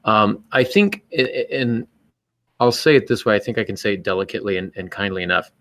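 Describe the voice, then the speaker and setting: A man's voice: fairly low pitch, a little fast, a bit bright. A man co-hosting a podcast, talking reflectively in an earnest, emphatic, self-critical way. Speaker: podcast co-host and writer.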